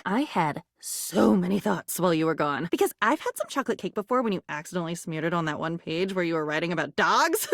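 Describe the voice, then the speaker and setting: A woman playing a living diary in a video game, a curious, quirky and excitable character who muses aloud in a chatty, theatrical, whimsical way. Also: lowish voice, no room sound, clean studio sound, exaggerated voice. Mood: excited.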